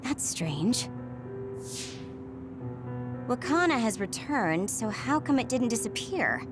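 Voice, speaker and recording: A woman's voice: on the high side, big pitch swings, slow, music in the background, full character voice. A woman playing an analytical, decisive young soldier in a dubbed video game cutscene.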